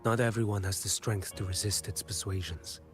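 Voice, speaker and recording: A man playing a grave, weary swordsman in a video game, speaking formally in a measured, stoic way. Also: lowish voice, fairly even intonation, over music, natural voice. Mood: grim, resolute. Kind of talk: scripted video game dialogue.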